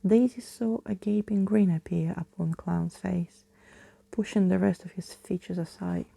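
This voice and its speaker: A woman storyteller reads in ASMR style, unhurried and close to the mic. Her voice is very dark and soft with a creaky, breathy grain, often sinking into a whisper. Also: on the low side, steady tone, no room sound. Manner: hushed, often slipping into whisper. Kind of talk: ASMR bedtime story reading.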